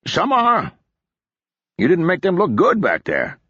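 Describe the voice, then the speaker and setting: A man putting on a gruff, mocking mountain captain. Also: high voice, huge pitch swings, unhurried, fairly bright tone, no room sound, lo-fi sound, pitched above the performer's own voice, heavily acted. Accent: heavy mountain accent (fictional). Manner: blunt, coarse, needling. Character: burly scruffy male army captain, mocking and gruff.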